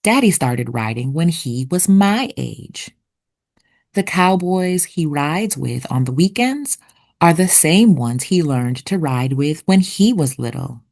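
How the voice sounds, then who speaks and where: A woman reading a children's picture book aloud expressively, with deliberate storytelling pauses. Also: low voice, animated, a little mellow. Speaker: children's read-aloud narrator.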